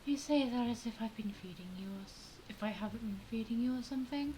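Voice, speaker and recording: A woman's voice: on the high side, quick pace, poor audio. A woman playing a game, commenting casually and reading dialogue choices aloud. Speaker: gaming streamer. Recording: loud noise and clicks behind voice.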